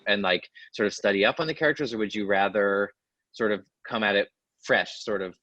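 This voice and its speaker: A man's voice: on the low side, a little fast, sharp tone, no echo. A man hosting a casual interview, asking easygoing questions hedged with "like" and "sort of". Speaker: host, orchestra flutist. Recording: dull dry lo-fi audio.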